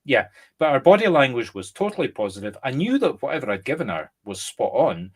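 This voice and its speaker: A Scottish man, a medium and co-host, chatting casually and explaining through lively examples. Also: medium pitch, a little fast, husky. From Scotland. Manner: animated, explains through examples and acted-out quotes. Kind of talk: casual conversation.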